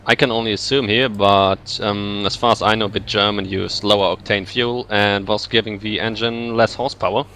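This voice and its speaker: A man's voice: lowish voice, fairly even intonation, normal speed, few pauses, sharp tone, slight background noise. A man chiming in as co-commentator with stats, speaking in a hesitant, tentative way during a casual game chat.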